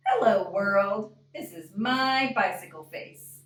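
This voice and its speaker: A woman voicing a plucky, determined girl from a picture book with bold, drawn-out declarations. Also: medium pitch, expressive, a bit bright. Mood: triumphant. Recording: echoey room, distant microphone.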